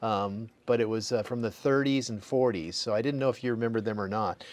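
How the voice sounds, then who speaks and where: A man's voice: on the low side, dry recording. A man from Southern California interviewing an older guest, reacting with enthusiasm. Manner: enthusiastic, quick reactions and laughs. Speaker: interviewer, museum host. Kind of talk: casual interview.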